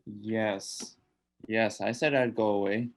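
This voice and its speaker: A man's voice: lowish voice, steady tone, slow pace. Man playing a sinister, commanding undead old man. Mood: ominous. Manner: insistent, commanding, repetitive. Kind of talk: radio drama acting.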